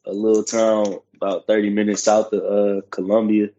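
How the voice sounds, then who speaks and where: A man's voice: on the low side, level tone, moderate pace, dark tone, dull audio. A young man from South Carolina telling his story in a laid-back, matter-of-fact way as a podcast guest. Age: young adult. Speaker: guest, college football player. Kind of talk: casual interview.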